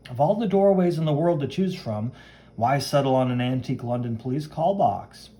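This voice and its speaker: A man's voice: medium pitch, animated, moderate pace, mellow, close to an everyday voice. A man voicing a cocky, chivalrous young superhero, reading comic-book lines aloud in a brash, dramatic manner. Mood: brisk, wondering. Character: cocky, chivalrous young male superhero who wields flame.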